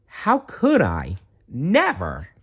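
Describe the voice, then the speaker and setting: A man's voice: medium pitch, wildly swooping pitch, very slow, fairly bright tone, husky, highs cut off, voice pushed up, full character voice. A man voicing an earnest, brave and friendly schoolboy in a storybook, exclaiming with feeling. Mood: puzzled, indignant. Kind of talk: storybook read-aloud.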